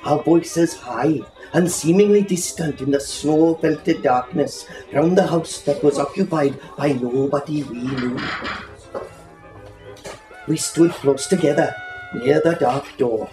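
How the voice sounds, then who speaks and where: A man reads a literary story aloud in a dramatic, lyrical way. He takes his time over the phrases. The room adds a clear echo around his fairly high voice. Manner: dramatic, lyrical, unhurried delivery. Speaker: storyteller reading aloud at a live reading. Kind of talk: expressive literary story reading. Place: indoor reading in a bookshop. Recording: roomy echo, faint music behind.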